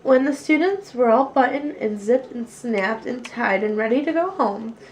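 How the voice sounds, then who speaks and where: High-voiced woman reading a children's story. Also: animated, normal speed, poor audio. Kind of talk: animated children's story read-aloud.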